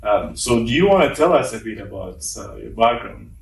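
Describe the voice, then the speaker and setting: A man hosting an interview, asking questions in a hesitant, halting way with many ums and repeated words. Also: medium pitch, sing-song, creaky. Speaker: interview host. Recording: echoey room, mic at a distance, faint noise.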